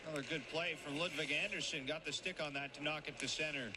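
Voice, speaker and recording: A man calling hockey play-by-play in a brisk, running commentary. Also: a little high, fairly even intonation. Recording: broadcast with loud arena noise.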